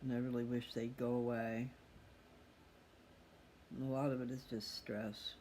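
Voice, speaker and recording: A man's voice: mid-range voice, little pitch movement, a little slow, a little mellow. A middle-aged man talking casually and candidly in a rambling, reflective personal monologue, addressing his listeners directly. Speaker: host of a personal video channel. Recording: clearly audible background noise.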